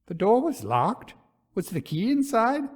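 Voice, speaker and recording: A man playing a frightened, imploring gentleman in a gothic ghost story, with urgent theatrical exclamations. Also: high voice, very animated, measured pace, breathy edge, pitched above the performer's own voice, heavily acted. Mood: anxious questioning.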